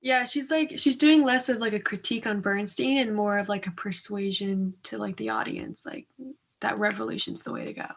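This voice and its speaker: A woman's voice: mid-range voice, steady tone, moderate pace, creaky. A young woman co-hosting a discussion in a casual, colloquial and loosely phrased way. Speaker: co-host of a reading discussion. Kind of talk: casual chat.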